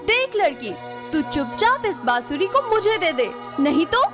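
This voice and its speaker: A woman's voice: very high-pitched, big pitch swings, a little slow, over music, heavily acted. A woman voicing a wicked, grasping fairy in a cartoon, with an imperious, demanding manner. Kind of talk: Hindi moral-story cartoon acting.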